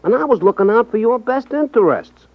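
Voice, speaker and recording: An actor playing a shifty, placating small-time underworld go-between, slangy and defensive, in a 1950s radio drama. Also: male voice, very high-pitched, sing-song, fairly quick, no echo, exaggerated voice. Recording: muffled 1950s radio recording.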